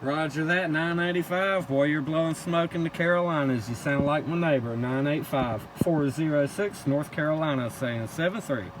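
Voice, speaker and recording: A man's voice: a little high, moderate pace, hardly any pauses. A man working a CB radio, calling out contacts in clipped call-sign phrases. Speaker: CB radio operator. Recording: very loud vehicle-like background noise.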